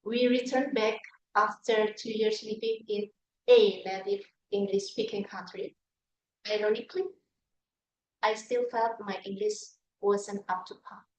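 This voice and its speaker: A woman's voice: medium pitch, fairly even intonation, unhurried, a little mellow, distant mic, lo-fi sound. A middle-aged woman from Indonesia giving a prepared humorous speech at a speaking club, telling stories about herself. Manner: storytelling with self-deprecating humor. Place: meeting room. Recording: echoey room, voice from afar.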